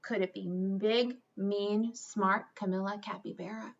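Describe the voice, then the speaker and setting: A woman's voice: medium pitch, steady tone, measured pace, gravelly, dull audio. A woman reading a picture book aloud as a school storyteller, expressively and with dramatic emphasis. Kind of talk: expressive children's read-aloud.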